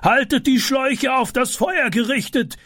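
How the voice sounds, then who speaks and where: Man playing a high strained shouting neighbor, urgent, husky, storybook rescue. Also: normal speed, dry recording, clean studio sound. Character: brave adult male neighbor shouting orders.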